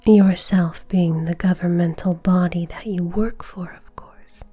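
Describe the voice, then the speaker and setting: A woman playing a composed, coolly defiant suspect in an ASMR roleplay, speaking softly and politely in a measured, breathy whisper. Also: lowish voice, fairly even intonation, faint music behind. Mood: knowing, defiant. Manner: soft-spoken, polite, measured, subtly taunting.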